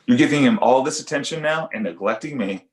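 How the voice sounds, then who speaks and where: A man acts out an insecure comedian father in an animated comedy. He sounds indignant and defensive in a mid-range voice. The recording has a clearly echoey room sound. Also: medium pitch, fast, lightly acted. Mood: aggrieved. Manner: defensive, aggrieved. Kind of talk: animated comedy pilot table read.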